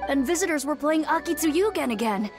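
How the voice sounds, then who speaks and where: A woman's voice: sits high, lively intonation, fast talker, music in the background. A woman voicing a small, anxious, childlike spirit in a video game. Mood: earnest.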